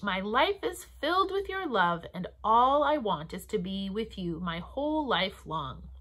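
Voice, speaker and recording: Lady pastor doing kids story time, talks slowly w/ pauses, very up and down expressive. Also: mid-range voice. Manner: animated, simple phrasing with deliberate pauses for children. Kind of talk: storytelling and talking to young children. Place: small room at home or office, indoors.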